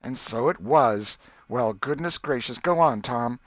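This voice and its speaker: Guy doing an old southern country auntie, pitched up, folksy n exclaiming, scolds and fusses, storybook style, dry sound. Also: expressive, a little slow, no echo, close to an everyday voice. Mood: amazed, eager. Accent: rural American South. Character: excitable, pious, scolding old country aunt, gullible and affectionate. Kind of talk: audiobook character voice.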